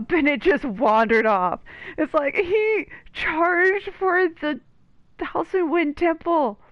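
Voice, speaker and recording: High-voiced woman, dull recording. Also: lively intonation, slow pace, dry recording. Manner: wry, mocking asides. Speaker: gaming video host. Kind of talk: casual game playthrough commentary. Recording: dull lo-fi mic, game music behind.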